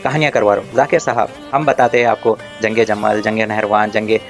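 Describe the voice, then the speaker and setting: A man's voice: lowish voice, flat delivery, fairly quick, faint music behind. A man giving an argumentative religious rebuttal, addressing his opponent directly in a taunting, confrontational way.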